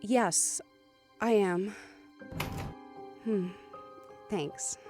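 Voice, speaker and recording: A woman's voice: mid-range voice, very slow, darkish tone, faint music behind. An actress playing an anxious, grieving daughter who narrates her own ordeal earnestly in a horror audio drama. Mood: nervous. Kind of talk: dramatic first-person scripted narration.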